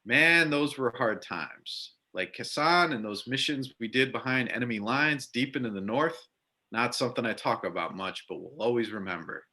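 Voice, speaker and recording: A man acts out a burly biker bartender and veteran in a readers theater piece. The voice is bright and forceful at a middle pitch. He talks in a folksy, blunt, chatty way. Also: expressive, normal speed. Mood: reflective. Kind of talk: amateur readers theater acting.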